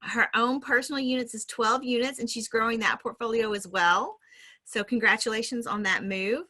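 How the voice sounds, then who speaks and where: A woman's voice: a little high, fairly even intonation, moderate pace, pushed and bright. A woman hosting a podcast, chatty and enthusiastic while introducing her guest. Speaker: podcast host.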